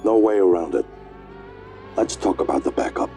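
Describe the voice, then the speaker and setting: An actor playing a grave, skeptical scientist in a video game, sober and questioning. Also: male voice, low voice, lively intonation, normal speed, warm tone, faint music behind. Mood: sober, doubtful. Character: grave, skeptical male scientist, older brother.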